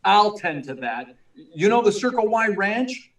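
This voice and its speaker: A man's voice: high voice, very animated, measured pace, a bit bright, distant mic, full character voice. A man playing an earnest, urgent young newspaper reporter in a 1940s-style radio drama. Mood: urgent, insistent. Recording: echoey room, away from mic.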